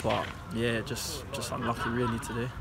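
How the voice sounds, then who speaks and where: A man's voice: medium pitch, flat delivery, vocal fry. A young man with an English accent, a football player answering post-match questions casually outdoors at night. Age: young adult. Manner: casual, quick, lots of fillers.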